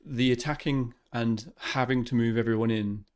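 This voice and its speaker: A man's voice: medium pitch, normal speed, clear, resonant tone. A man hosting a casual, friendly chat about a board game he just played. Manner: relaxed, friendly, casual slang. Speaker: channel host.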